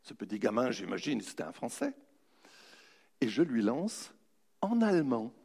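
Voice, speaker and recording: A man giving a humorous, anecdotal motivational talk, telling his story with dramatic pauses. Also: mid-range voice, sing-song, vocal fry, a little mellow, slightly rough. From raised bilingual French-German, in a French-German family. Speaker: motivational speaker. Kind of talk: anecdotal public talk to an audience.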